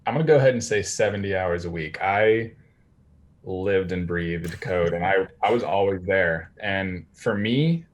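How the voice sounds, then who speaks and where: A man's voice: low-pitched, unhurried, slight background noise. A man enthusiastically answering an interview question. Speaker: guest, bootcamp graduate.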